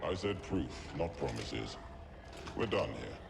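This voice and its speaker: A man playing a gruff, curt underworld fixer in a video game, with a very deep gravelly voice and a dismissive manner. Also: sing-song, slow, full character voice. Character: gruff, hard-bargaining male underworld fixer, imposing and curt. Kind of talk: video game cutscene acting. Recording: noisy ambience behind.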